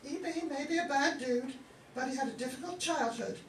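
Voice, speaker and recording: A woman's voice: high-pitched, brisk, close to an everyday voice. A woman playing a bossy, motherly, decisive Missus Claus with dramatic delivery in an amateur comic radio play. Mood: earnest. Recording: echoey room, distant mic, faint noise.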